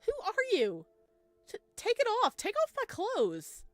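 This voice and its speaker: A woman voicing a frightened but defiant resident in a horror visual novel, loudly demanding answers in panic. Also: extremely high pitch, wildly swooping pitch, a little slow, dry recording, faint music behind, pitched above the performer's own voice, exaggerated voice. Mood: panicked anger. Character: frightened, defiant resident confronting a home intruder.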